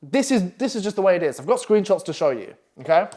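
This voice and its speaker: Brash, fast-talking Essex man. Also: sits high, sing-song, dark tone. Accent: Essex English. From England. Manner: brash, profane, pushy. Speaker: copywriting coach. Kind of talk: online masterclass presentation. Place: London flat.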